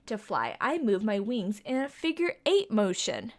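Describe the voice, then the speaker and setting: Lively, sing-song woman reading to kids. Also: mid-range voice, unhurried. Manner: sing-song, coaxing questions to young listeners. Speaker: children's storytime reader. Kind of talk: reading a picture book aloud to children.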